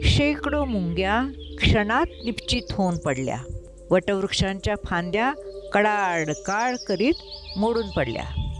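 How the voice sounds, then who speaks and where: A woman narrating a scripted story expressively as a storyteller. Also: medium pitch. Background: clear music.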